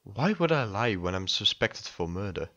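A man's voice: lowish voice, big pitch swings, moderate pace, fairly bright tone, dull audio. A man playing a restless, self-important president in an audio drama, speaking in a formal, insistent way. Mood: earnest, defensive. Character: restless, self-important male president turned amateur sleuth.

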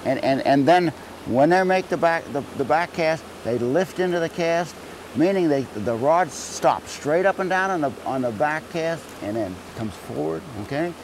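A man's voice: a little high, lively intonation, moderate pace, slight background noise, rough recording. An older man, a fly fishing guide, explaining casting while wading in a stream, in a folksy way that repeats words. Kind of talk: outdoor fishing instruction. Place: wading in a wooded trout stream outdoors. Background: faint stream and outdoor ambience.

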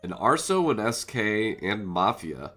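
A man's voice: lowish voice, very animated, measured pace, sharp tone. A man with a congested, cold-stuffed voice casually commenting on his own game as host, thinking aloud as he plays. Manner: chatty, thinks aloud while playing. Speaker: gaming channel host. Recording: faint music bed underneath.

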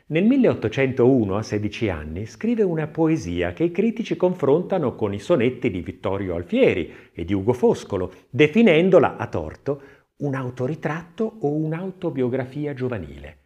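A man reading a scripted literary text as an audiobook narrator, expressive and measured, with deliberate phrasing. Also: medium pitch, muffled recording. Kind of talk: scripted audiobook narration of an art-history essay, with recited verse.

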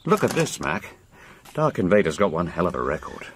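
An actor playing a genial, confident gentleman racehorse trainer in a radio drama, speaking with well-mannered authority. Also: low-pitched, sing-song, brisk, slight background noise. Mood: thoughtful, keen.

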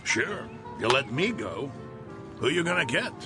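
An actor playing a sour, wisecracking baseball manager in a radio drama, blunt, slangy and sarcastic. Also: male voice, mid-range voice, big pitch swings, a little slow, over music. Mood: sour, exasperated.

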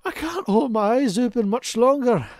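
A man's voice: very high voice, animated, moderate pace, darkish tone, no echo, clean studio sound. A man voicing a cranky, miserly old male duck in a cartoon comic dub, scolding in fussy, old-timey exclamations. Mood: weary, grumbling.

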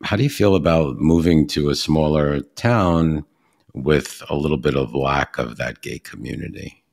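A chatty man with a Brooklyn accent hosts a relaxed interview. His voice sits very low, with a gravelly, husky edge. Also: normal speed. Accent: Brooklyn New York. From Brooklyn, New York. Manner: chatty, acts out little phone dialogues. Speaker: podcast host. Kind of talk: casual interview chat.

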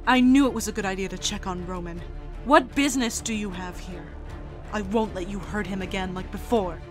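A woman's voice: medium pitch, a little fast, over music, natural voice. A woman voicing a stern, protective, logical young man with a firm, formal manner in a comic dub. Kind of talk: dramatic comic dub acting.

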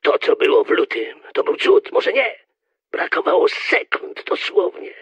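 Terse male conspirator, very raspy, wildly varied pitch, clipped secretive reports, dull radio-drama sound. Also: wildly swooping pitch, a little slow, a bit bright, dry recording, full character voice. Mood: alarmed, urgent. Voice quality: husky, gravelly. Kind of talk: audio drama acting.

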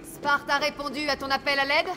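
A woman's voice: sits high, monotone, fairly quick, natural voice. A woman voicing a tough mercenary warrior in a video game, cool, dry and self-assured. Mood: confident. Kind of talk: video game voice acting in French. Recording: game dialogue over loud music.

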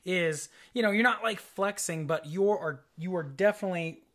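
A young man with a breathy voice, a voice teacher chatting casually on a couch and rambling through his points. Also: high voice, normal speed, fairly bright tone. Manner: rambling, restarts, conversational. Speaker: voice teacher and reaction video co-host. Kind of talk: casual analytical chat. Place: living room studio, seated on a couch.

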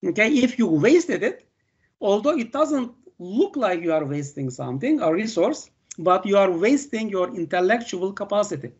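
Older Turkish-accented professor lecturing online. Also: male voice, sits high, lively intonation, slightly rough, muffled recording. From Turkey. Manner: halting, many "uh" fillers and "okay" checks. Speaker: university professor.

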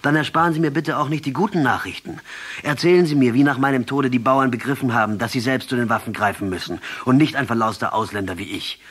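An actor in a radio play playing a captured Marxist guerrilla commander, defiant, ironic and intellectual, speaking emphatically. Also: male voice, mid-range voice, quick pace, fairly bright tone. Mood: sardonic, defiant. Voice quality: husky. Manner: sardonic, emphatic, declamatory.

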